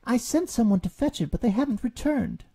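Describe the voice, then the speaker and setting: A man's voice: very high-pitched, animated, brisk, mellow, slightly rough, pitched above the performer's own voice, exaggerated voice. A man voicing a timid, apologetic little beetle in a storybook reading. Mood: sheepish. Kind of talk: audiobook storytelling.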